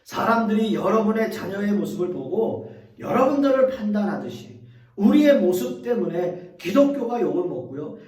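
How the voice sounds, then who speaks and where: A man's voice: high voice, darkish tone, roomy sound, far from the mic. A middle-aged male pastor preaching a sermon earnestly, speaking directly to the congregation and repeating key phrases.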